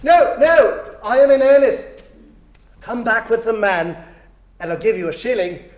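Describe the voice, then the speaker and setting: A man's voice: very high-pitched, a little slow, voice pushed up. A man playing an elated, reformed old miser in a storybook reading, exclaiming and repeating himself with glee. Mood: eager. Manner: exclamatory, gleeful, repeating phrases. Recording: echoey room.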